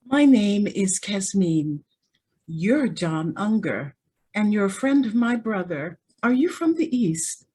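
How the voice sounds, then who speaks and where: Woman acting naive rich teen girl in old radio drama, talks slow, soft husky edge, prim and artless. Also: medium pitch, big pitch swings, exaggerated voice. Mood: friendly, curious. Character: naive, self-assured, sheltered teenage rich girl.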